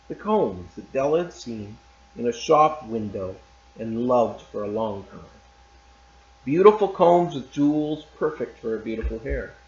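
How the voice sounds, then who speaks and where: Male teacher, story read-aloud, calm and measured, echoey room, slightly dull sound. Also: medium pitch. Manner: calm and measured, loose and chatty after reading. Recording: roomy, slightly dull recording.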